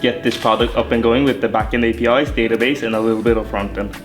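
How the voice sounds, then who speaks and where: A man in his twenties introducing himself in a flat, steady way. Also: medium pitch, fast, soft background music. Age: 20s. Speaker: programming student.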